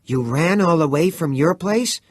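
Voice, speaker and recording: A man's voice: sits high, huge pitch swings, unhurried, darkish tone, breathy edge, voice pushed up, heavily acted. A man voicing a brash, scornful teenage boy in an audiobook reading.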